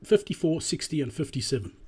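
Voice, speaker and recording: A man giving an explanatory business presentation as a voice-over, speaking deliberately and emphatically and repeating key phrases, with a slightly husky voice. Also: fairly high pitch, big pitch swings, a little mellow. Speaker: presenter analysing drive-through performance.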